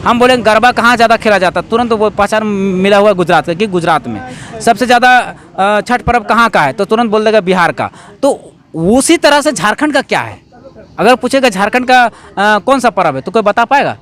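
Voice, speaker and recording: A man's voice: sits high, animated, a little fast, a bit bright. A man from Jharkhand with a Jharkhandi accent answers interview questions as an activist, explaining emphatically with repeated phrases and rhetorical questions. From Jharkhand, India.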